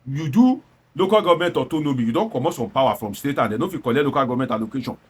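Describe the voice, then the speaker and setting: A man's voice: mid-range voice, animated, very fast, husky. A man with a Nigerian accent delivers opinionated commentary as a show host, emphatic and exhortatory, mixing in Pidgin and pressing points with rhetorical questions and repetition.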